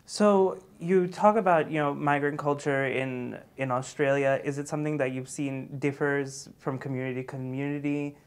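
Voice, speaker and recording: A man's voice: mid-range voice, steady tone, normal speed, hardly any pauses, clear, resonant tone. A man in his thirties interviewing a guest in a studio, rephrasing his long questions as he asks them. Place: dark TV studio, seated.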